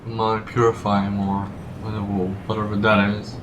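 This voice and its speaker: A man's voice: fairly low pitch, completely flat delivery, moderate pace, highs cut off, background noise. A man streaming a video game, reading along and reacting casually in a joking, hesitant way. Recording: echoey room, faint game music.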